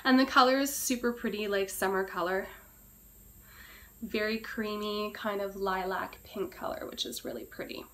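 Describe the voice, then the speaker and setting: A woman with a hoarse, cracking voice she says she is losing, chatting casually through a beauty product review and often clearing her throat. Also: mid-range voice, steady tone, normal speed, a little hiss or hum. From Canada. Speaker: beauty vlogger.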